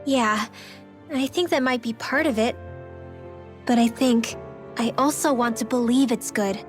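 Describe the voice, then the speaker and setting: A young girl mage from a fantasy video game, acted by a woman, speaks in a high, youthful voice. She is earnest and open-hearted with a stubborn streak. Soft music plays behind her. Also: unhurried, lightly acted. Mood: earnest, reflective. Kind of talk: video game cutscene voice acting.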